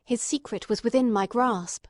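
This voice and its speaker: A woman reading scripted literary narration as an audiobook narrator, in a measured, carefully phrased storytelling manner. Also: on the high side, dry recording.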